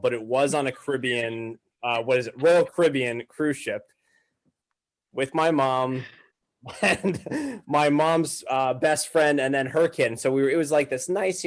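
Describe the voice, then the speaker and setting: Man casually swapping self-deprecating stories. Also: medium pitch. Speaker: podcast guest. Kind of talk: casual conversational storytelling.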